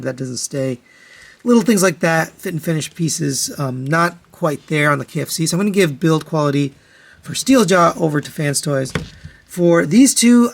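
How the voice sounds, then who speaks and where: Male product reviewer, voice a bit on the high side, matter-of-fact and conversational, walking through comparisons step by step. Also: creaky. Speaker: toy reviewer, host.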